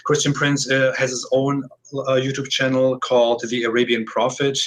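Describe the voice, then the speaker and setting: A man's voice: mid-range voice, flat delivery, normal speed, fairly bright tone. A man hosting an audio call interview, speaking hesitantly with frequent restarts. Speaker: host.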